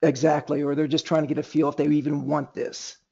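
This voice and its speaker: A man hosting a sales conference call informally, halting and repeating words as he moderates and coaches. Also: fairly high pitch, little pitch movement, creaky, mellow, husky. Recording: dull, lo-fi conference call line.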